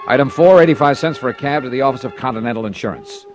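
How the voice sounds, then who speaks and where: An American actor playing a brisk, wry insurance investigator who narrates his own case in a 1950s radio drama. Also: mid-range voice, close to an everyday voice. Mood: brisk, matter-of-fact. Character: adult male insurance investigator, hard-boiled, brisk and wry. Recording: vintage radio broadcast with music.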